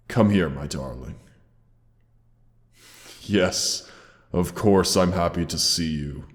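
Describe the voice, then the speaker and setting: A gentle old male dragon, acted by a man in an audio drama, very low and unhurried. He speaks with noble, formal tenderness and leaves dramatic pauses. The voice rings in long, cavernous reverb. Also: vocal fry, full character voice. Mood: tender. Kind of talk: roleplay audio drama, scripted monologue.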